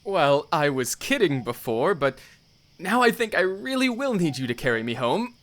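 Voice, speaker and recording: A man's voice: fairly high pitch, big pitch swings, moderate pace, fairly bright tone. A man acting a playful, overdramatic young prince with theatrical, mock-pompous delivery in an audio drama. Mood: rueful.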